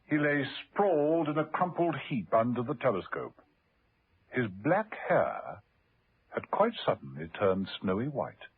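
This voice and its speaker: A storyteller narrates a suspense serial on radio with a lively, swooping delivery. His medium-pitched voice sits in a narrow, old broadcast sound over music. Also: unhurried, vocal fry, a little hiss or hum. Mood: suspenseful. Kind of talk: scripted radio thriller narration. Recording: band-limited old radio recording with music.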